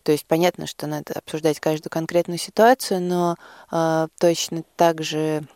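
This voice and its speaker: A woman's voice: sits low, steady tone, normal speed, a little mellow, no echo, studio quality. A woman guest explaining her project in an unscripted interview, thoughtful and a little hesitant. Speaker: studio guest, project organiser.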